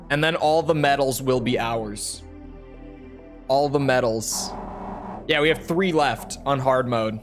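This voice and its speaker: Man, game streamer, casual upbeat chatter, slightly rough voice, mid-range pitch, faint music behind. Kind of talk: casual live-stream chat over gameplay.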